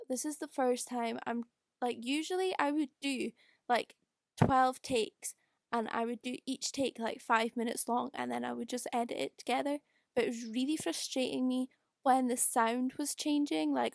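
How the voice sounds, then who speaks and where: Young scottish girl chatting away off the cuff, highish voice bit of fry, really dry close sound. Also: no echo. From Scotland. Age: young adult. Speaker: video creator doing a voice-over. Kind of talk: casual unscripted rambling chat. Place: quiet room at home.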